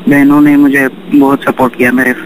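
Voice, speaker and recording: A man's voice: medium pitch, flat delivery, brisk, slight background noise, poor audio. A man answers interview questions, explaining his own experiences and mixing English terms into his speech. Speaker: interview guest, university coordinator.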